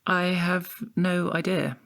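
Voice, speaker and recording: An actress playing a pompous art-history interrogator in an audio drama, formal, measured and prompting. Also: female voice, fairly low pitch, level tone, a bit bright. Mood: probing. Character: probing, pompous female art-history interrogator keeping things on task.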